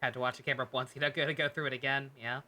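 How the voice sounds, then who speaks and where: A man's voice: mid-range voice, level tone, racing, bright, forceful tone. A man streaming a video game, chatting informally and thinking aloud in a rambling way. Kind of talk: casual livestream chat over gameplay. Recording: game music and dialogue behind.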